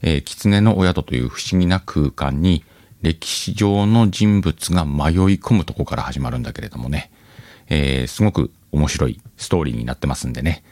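A man's voice: very deep voice, normal speed, darkish tone, dry recording. A man hosting his own audio show, chatting casually and musing aloud to his listeners. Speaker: host of an audio channel. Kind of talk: casual solo radio-style chat. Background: faint music.

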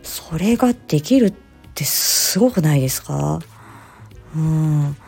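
A woman speech therapist telling stories in a casual, expressive solo chat, acting out short quotes as she explains. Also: deep voice, a little slow, vocal fry, slightly rough, soft background music. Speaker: speech-language therapist hosting an audio diary.

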